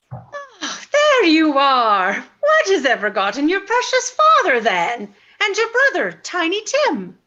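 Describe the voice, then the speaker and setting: A woman playing a fussing, affectionate mother in a radio play, with an expressive motherly delivery and slightly dull sound. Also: high voice, slow pace, heavily acted. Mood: fond fussing.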